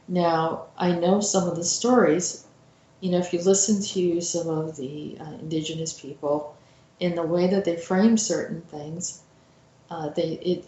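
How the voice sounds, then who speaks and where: A woman host giving informal spoken commentary in a reflective, halting manner. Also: lowish voice, a little mellow. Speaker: host introducing a story. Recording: roomy, echoing voice recording.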